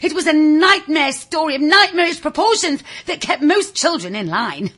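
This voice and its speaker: A woman reading a children's story in a theatrical, confiding way, with a husky, raspy voice, speaking directly to the listener. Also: sits high, sing-song, moderate pace, a bit bright. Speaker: audiobook reader. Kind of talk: animated scripted children's story narration.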